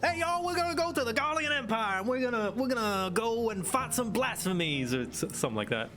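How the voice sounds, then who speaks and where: A man's voice: extremely high pitch, very animated, fairly quick. Laid-back American-accented man streaming. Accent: general American. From grew up in Thailand. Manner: rambling, laid-back, lots of "like" and restarts. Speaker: game streamer. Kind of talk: casual gaming stream chat. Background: game music.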